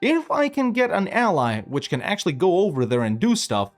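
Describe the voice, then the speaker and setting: A man's voice: high voice, fairly quick. Young male gaming commentator: easygoing, chatty, rambling, faint music underneath. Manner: relaxed, chatty, thinks aloud with many fillers; lively and upbeat when asking viewers to like and subscribe. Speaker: gaming YouTuber. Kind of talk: casual gameplay commentary.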